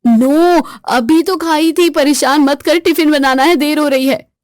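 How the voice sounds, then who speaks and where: A woman voicing a boastful, sharp-tongued young wife in a spoken story, talking in a taunting, frazzled way. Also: animated, moderate pace, no echo, clean studio sound. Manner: taunting, then shrill and frazzled.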